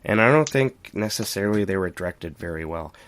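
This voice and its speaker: A man's voice: low voice, lively intonation, brisk, a bit bright. A man co-hosting a podcast in a casual, candid and informal film review chat. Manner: informal, candid, mildly profane. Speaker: podcast co-host.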